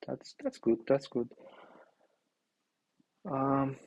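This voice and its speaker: A young man from Turkey with a Turkish accent, thinking aloud in halting, filler-heavy speech while he reviews an app on screen. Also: medium pitch, level tone, darkish tone, muffled recording. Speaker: indie maker reviewing an app. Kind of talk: casual screen-share commentary.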